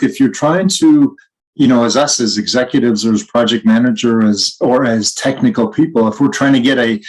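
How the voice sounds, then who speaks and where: A man's voice: medium pitch, poor audio. A man co-hosting a conversational podcast, talking reflectively and in an anecdotal style. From Canada. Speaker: podcast co-host.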